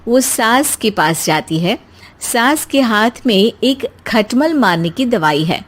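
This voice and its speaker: धीमी रफ़्तार में कहानी सुनाती महिला. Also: female voice, a little high, lively intonation, takes it slowly. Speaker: story narrator. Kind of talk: scripted narration of a comic moral tale.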